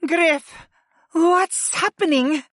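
A man's voice: extremely high pitch, extremely slow, fairly bright tone, no room sound, clean studio sound, pitched above the performer's own voice, exaggerated voice. A man voicing a frantic female monster goddess in an audiobook, desperate and pleading with a moaning urgency. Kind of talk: audiobook character voice.

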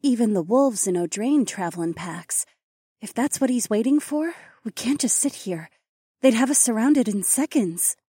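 Man putting on a high, breathy fantasy woman. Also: animated, moderate pace, mellow, dry recording, clean studio sound. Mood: worried. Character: cautious fantasy woman companion.

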